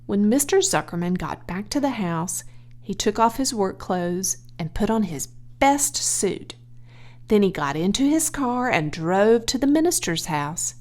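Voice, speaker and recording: A woman narrating a children's audiobook, reading scripted prose in an expressive, clearly phrased way. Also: medium pitch, measured pace.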